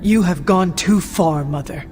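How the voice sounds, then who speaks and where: A woman playing a stoic, resolute warrior in a video game cutscene, with a formal, measured, deadpan delivery. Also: on the low side, noisy background, natural voice. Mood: defiant.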